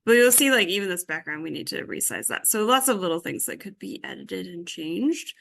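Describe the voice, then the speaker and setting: A woman teaching a software tutorial informally, thinking aloud as she works through each step. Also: lowish voice, animated, fairly quick, gravelly. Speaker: workshop instructor.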